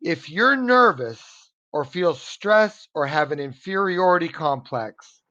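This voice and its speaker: An American man gives a one-on-one English lesson, encouraging and earnest, doubling back on his words as he goes. His voice sits a little high for a man. It comes through a muffled video-call line. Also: expressive, takes it slowly, bright, forceful tone. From United States. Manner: earnest, encouraging, repeats words while searching for them. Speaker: English tutor. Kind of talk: motivational one-on-one online lesson.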